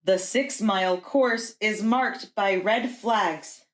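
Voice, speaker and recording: A woman's voice: medium pitch, slow, reverberant, lightly acted. A woman voicing an official rooster race announcer from a storybook, calling out in a proclaiming manner.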